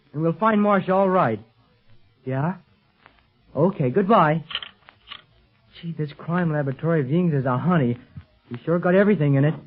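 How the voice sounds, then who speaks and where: A man's voice: fairly high pitch, husky. An actor playing an eager, earnest boy agent who talks in youthful slang in a 1930s radio serial. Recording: tinny 1930s radio recording.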